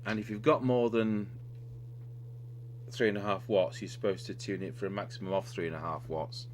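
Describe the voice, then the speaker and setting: A man's voice: fairly low pitch, fairly even intonation, normal speed. A man giving an unscripted, hands-on technical demonstration, talking step by step and somewhat hesitantly as he works on a radio repair. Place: indoor radio repair workbench. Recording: clearly noisy recording with electrical hum.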